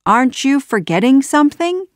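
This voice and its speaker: A woman voicing a brave, quick-witted young male hero in a storybook, bold and urgent. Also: mid-range voice, darkish tone, no echo, clean studio sound.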